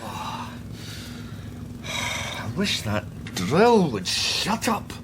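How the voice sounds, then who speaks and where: Radio drama lead: guarded, tired Scottish psychic, unhurried delivery, clear background noise. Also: male voice, fairly high pitch, huge pitch swings, exaggerated voice. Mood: weary. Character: weary, guarded male psychic detective, fond of his mother. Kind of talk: radio drama acting, with introspective narration.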